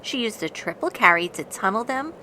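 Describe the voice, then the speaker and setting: A woman reading a children's picture book aloud in an expressive, deliberate storytelling manner. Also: mid-range voice, a little hiss or hum, rough recording. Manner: deliberate, dramatic storytelling with acted voices. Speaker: storybook reader.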